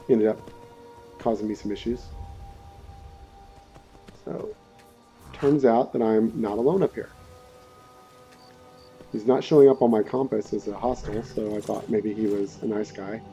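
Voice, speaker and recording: A man's voice: lowish voice, dark tone, highs cut off. A man giving casual, rambling, laid-back commentary as he hosts a let's play. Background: game music and rain.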